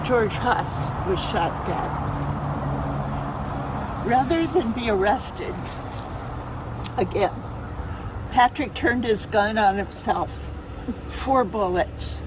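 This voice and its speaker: Actress as resigned convent woman, historical monologue. Measured, solemn, unhurried; noisy open-air recording. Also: medium pitch, close to an everyday voice. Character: woman who retreated into a convent, sorrowful, resigned. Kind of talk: first-person historical monologue at a cemetery tour. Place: outdoors in a cemetery. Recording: noisy outdoor recording with vehicle sounds.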